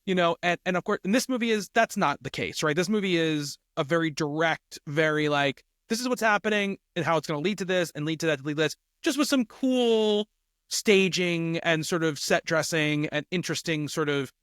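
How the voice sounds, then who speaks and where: A man with a fairly high voice co-hosts a casual film discussion. He explains his points conversationally, tagging them with small check-ins. The recording is close and dry. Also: brisk, a bit bright, clear, resonant tone, no room sound. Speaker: film podcast co-host.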